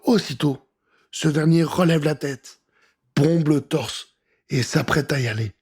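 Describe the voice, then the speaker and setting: A man narrating a scripted children's adventure story in an expressive, suspenseful way. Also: on the high side, a little slow, husky.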